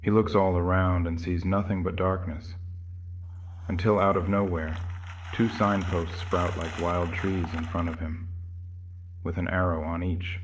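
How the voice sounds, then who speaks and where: A man's voice: low voice, flat delivery, unhurried, a little hiss or hum, rough recording. A man giving scripted narration of a horror story as its narrator.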